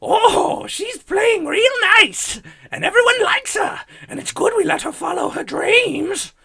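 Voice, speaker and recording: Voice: extremely high pitch, lively intonation, a little slow, vocal fry, bright, forceful tone, voice pushed up, heavily acted. A performer voicing an easily outraged, worried cartoon mother with a strained voice in a parody radio play. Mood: grudging approval.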